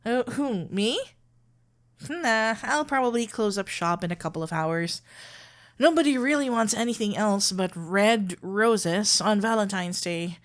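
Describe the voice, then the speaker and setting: A woman's voice: mid-range voice, expressive, a little slow, close to an everyday voice. A woman playing a sweet, flustered florist best friend in an ASMR roleplay, playful and awkwardly hesitant. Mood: friendly, frazzled. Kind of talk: ASMR roleplay acting, one-sided dialogue.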